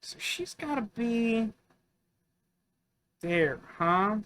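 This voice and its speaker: A man's voice: sits high, lively intonation, extremely slow, fairly bright tone. A man doing casual let's play commentary, musing and thinking aloud as he works through puzzles. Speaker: gaming video host.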